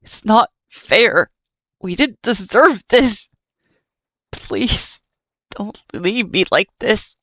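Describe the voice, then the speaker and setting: A woman voicing a bitter, furious cursed male skeleton who shouts his lines. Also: lowish voice, lively intonation, takes it slowly, long pauses, dry recording, full character voice. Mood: pleading.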